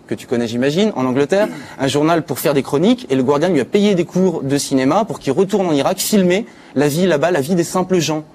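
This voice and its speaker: A man's voice: on the high side, a little mellow. A man giving an informal spoken chronicle on a live talk show, as a news contributor. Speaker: contributor presenting internet news.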